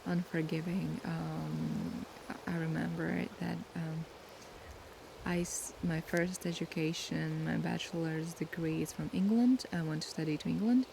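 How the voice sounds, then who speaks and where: Soft woman with vocal fry. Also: sits low. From Latvia. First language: Latvian. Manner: soft, unhurried and thoughtful, pausing to search for words. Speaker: ASMR creator. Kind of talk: casual ASMR Q&A chat. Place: outdoors by the sea at sunset. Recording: steady sea noise behind. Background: sea waves.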